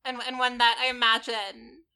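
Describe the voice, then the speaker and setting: A woman guest who teaches college speaks in an articulate, wordy way, often stammering as she restarts. Her voice is fairly high and bright. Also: steady tone, normal speed, clean tone. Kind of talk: casual podcast chat.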